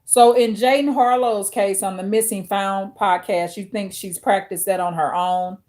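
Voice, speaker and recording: A woman with a deep, authoritative voice hosting a livestream, reading viewer chat aloud and answering slowly with many fillers and restarts. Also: fairly even intonation, a little mellow. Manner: addresses viewers directly. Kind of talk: casual chat with live viewers.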